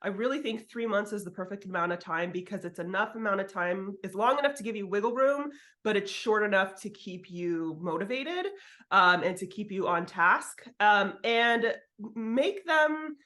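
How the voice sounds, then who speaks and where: A woman's voice: medium pitch, moderate pace, pushed and bright. Candid, casual woman giving advice. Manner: candid, informal, occasional swearing. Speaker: guest author giving writing advice. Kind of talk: casual interview chat.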